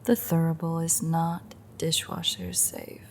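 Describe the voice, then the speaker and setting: A woman's voice: sits low, very animated, measured pace, vocal fry, slight background noise, full character voice. A woman playing a sardonic, threatening female vampire with a secret fondness, in a wry, teasing, half-whispered audio roleplay. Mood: dry reading aloud. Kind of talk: intimate audio roleplay acting.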